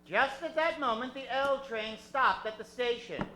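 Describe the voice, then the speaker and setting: A woman's voice: a little high, expressive, moderate pace, sharp tone, slight background noise. A woman narrator telling an improvised story in old-time radio style. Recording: roomy, faint music behind.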